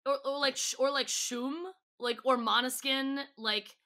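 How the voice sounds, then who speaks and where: Podcast guest, woman. Bright, slightly high voice, informal and gushing. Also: steady tone, measured pace. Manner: gushing, enthusiastic, informal fan talk. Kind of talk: casual chat.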